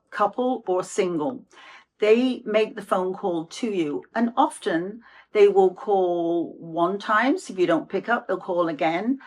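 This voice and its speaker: A woman host giving an informational talk in a measured, deliberate, explanatory way. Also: mid-range voice.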